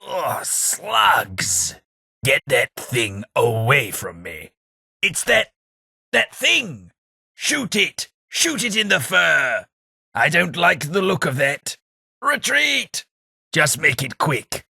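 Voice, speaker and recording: A man's voice: a little high, big pitch swings, slow pace, gravelly, pushed and bright, husky, no echo, full character voice. A man voicing a snobbish, sardonic male ghoul aristocrat with an English accent, delivering game companion lines in a prim, dry upper-class drawl. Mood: disdainful. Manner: prim, snobbish, wryly sarcastic.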